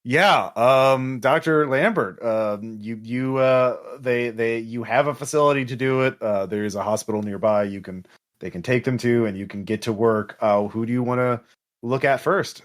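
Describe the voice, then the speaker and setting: A man running a tabletop horror game, narrating off the cuff and haltingly as he thinks aloud. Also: medium pitch. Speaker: game master.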